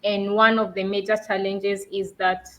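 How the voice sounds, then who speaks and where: A woman's voice: medium pitch, little pitch movement, normal speed. A Kenyan woman guest on an online panel, telling her own story in an earnest, reflective way. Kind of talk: casual panel talk.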